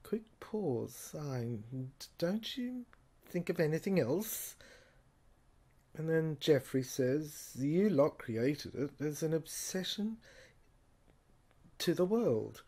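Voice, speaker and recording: A woman plays a weary archive researcher in a serial audio drama. The voice is on the low side and very slow. Phrases come out haltingly and get repeated, as if being read from broken fragments. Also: big pitch swings, mellow, slightly rough, full character voice. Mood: puzzled, halting.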